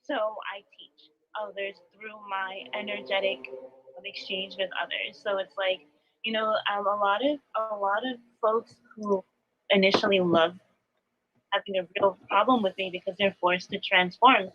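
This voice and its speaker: Woman, mid-pitched, earnest spiritual teacher giving reflective live guidance; slightly muffled lo-fi sound. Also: little pitch movement, moderate pace, slight background noise. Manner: earnest, reflective, explanatory, new-age vocabulary. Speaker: spiritual teacher, astrology adviser. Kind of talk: live spiritual coaching talk, semi-monologue.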